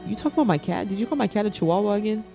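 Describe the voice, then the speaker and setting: High-voiced young man, slangy streamer banter. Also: animated, rapid-fire, vocal fry, dark tone. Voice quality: slangy, informal speech. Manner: playful, bantering with chat. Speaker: livestreamer. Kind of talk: casual reaction stream commentary. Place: indoor room at a streaming setup. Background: faint background music.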